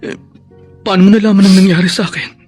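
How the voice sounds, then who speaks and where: An actor playing a greedy, defiant husband, a teacher gone crooked, in a Filipino radio drama, with a husky voice. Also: male voice, high-pitched, fairly even intonation, fast talker, a little mellow, faint music behind, close to an everyday voice. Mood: subdued, remorseful.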